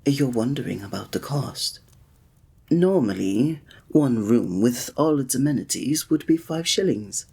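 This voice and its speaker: A man's voice: medium pitch, animated, unhurried, vocal fry, darkish tone, husky, exaggerated voice. A man playing a flirtatious, courteous innkeeper in a fantasy village, speaking formally in a scripted ASMR roleplay addressed to the listener. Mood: courteous, warm. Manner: formal, old-fashioned, teasing, suave diction.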